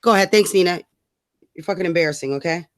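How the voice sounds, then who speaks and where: A woman's voice: lowish voice, fast. A woman co-host ranting casually about politics, scornful and emphatic, swearing freely. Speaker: talk show co-host.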